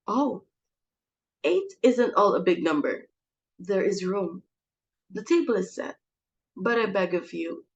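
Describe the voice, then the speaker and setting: A woman's voice: medium pitch, expressive, slow, vocal fry, long pauses, muffled recording. A woman acting a domineering, melodramatic middle-aged society hostess in a dramatic play reading, theatrical and exasperated. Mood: pleading politeness.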